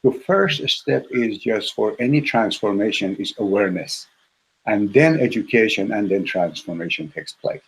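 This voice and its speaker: A man who grew up speaking Farsi talks as an interviewed expert. His voice is fairly low and husky. He explains patiently and in measured steps, often restating a point in parallel phrases. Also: poor audio. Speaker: author interviewed as negotiation expert.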